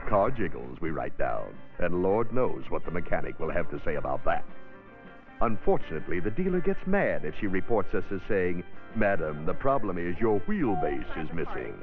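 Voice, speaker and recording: A man's voice: sits low, sing-song, normal speed. Wisecracking 1960s service man, wildly swooping delivery. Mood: mocking exasperation. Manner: sardonic, theatrical, slangy. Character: wisecracking, exasperated car dealership service man griping about customers. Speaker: narrator of a training film. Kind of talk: comic scripted narration, 1960s industrial film. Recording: tinny, band-limited 1960s film soundtrack, music behind.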